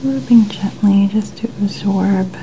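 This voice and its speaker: A woman performs an ASMR personal-care role-play in a soft voice that is mostly whispered. She takes her time, gently and soothingly describing each step. Clear ambient noise runs behind her voice. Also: medium pitch, slow pace, low-quality recording. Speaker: ASMR creator.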